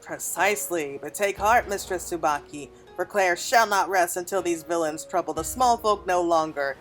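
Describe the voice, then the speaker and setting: A woman voicing a self-satisfied gentleman merchant and collector from a fantasy game, with florid, archaic diction. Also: fairly low pitch, moderate pace, natural voice. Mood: brisk, boastful. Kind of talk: reading game cutscene dialogue aloud. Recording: game music behind the voice.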